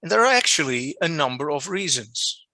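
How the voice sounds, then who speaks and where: A man with a Dutch accent, a non-native English speaker, giving an online lecture as a journal editor and restating his points deliberately over a video call. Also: on the high side, big pitch swings, bright, forceful tone, no echo. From Netherlands. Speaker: journal editor presenting a workshop. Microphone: video call microphone.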